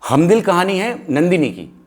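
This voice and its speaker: A man's voice: sits high, sing-song, normal speed. An Indian man in a casual film discussion, speaking emphatically and giving firm opinions, mixing English phrases into his Hindi.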